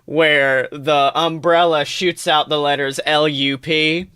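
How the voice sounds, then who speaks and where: Bright-voiced, higher-pitched casual podcast man. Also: slow, smooth, dry recording, clean studio sound. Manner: animated retelling with acted-out quotes, casual swearing. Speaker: podcast co-host. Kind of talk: casual fan discussion.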